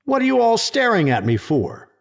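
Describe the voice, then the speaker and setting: Actor as high-pitched, combative government skeptic. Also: male voice, huge pitch swings, normal speed, gravelly, exaggerated voice. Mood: defensive, irritated. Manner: sarcastic, defensive, argumentative. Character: combative, skeptical presidential secretary guarding his turf. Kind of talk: sci-fi audio drama acting.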